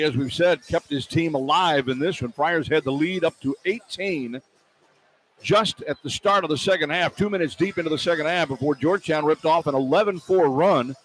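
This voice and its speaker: A man doing live radio basketball play-by-play, describing the action in short, clipped phrases that build toward excited calls. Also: fairly high pitch, a little hiss or hum. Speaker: play-by-play announcer. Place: broadcast position at an indoor arena.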